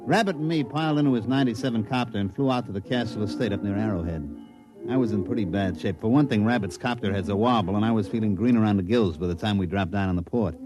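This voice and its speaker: A man's voice: on the low side, fast, music in the background, natural voice, low-quality recording. A man acting a folksy, wry baseball coach in a 1950s radio drama, with dry, colloquial delivery.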